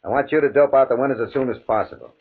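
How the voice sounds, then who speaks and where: An actor playing a smooth, menacing gambling boss in 1950 radio drama style, mock-polite, controlling and taunting. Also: male voice, medium pitch, rapid-fire. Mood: hard, insistent. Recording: muffled old radio recording.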